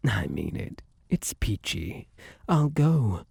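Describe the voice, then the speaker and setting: Man playing a husky, swooping, possessive luck spirit. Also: fairly low pitch, very animated, takes it slowly, a little mellow, dry recording, studio quality, heavily acted. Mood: wounded, dismissive. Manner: theatrical, coaxing, old-fashioned flowery slang and endearments. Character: possessive, smug male personification of luck, suavely menacing suitor. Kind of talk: ASMR roleplay, theatrical monologue to the listener.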